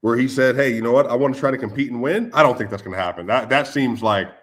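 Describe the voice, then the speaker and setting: A man in a casual sports chat with a middle-pitched voice. His talk is loose and conversational, full of "like", and he speaks to his listeners as "y'all". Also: quick pace. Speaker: podcast co-host.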